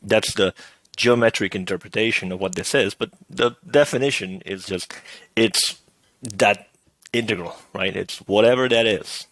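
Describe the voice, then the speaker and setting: A lower-voiced middle-aged man with a touch of hoarseness, teaching university math from home. He talks in a relaxed, meandering way, pausing on fillers and tossing in "right?" as he works through each idea. The sound is dry and close, with no room around it. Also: dry recording. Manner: relaxed, thinking aloud with many "uh" and "right?". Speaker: math professor. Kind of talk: recorded lecture over slides.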